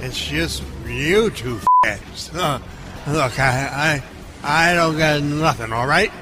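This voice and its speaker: An actor playing a jittery, strung-out drug dealer in an audio drama, stammering and groggy. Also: male voice, on the high side, slow pace, music in the background.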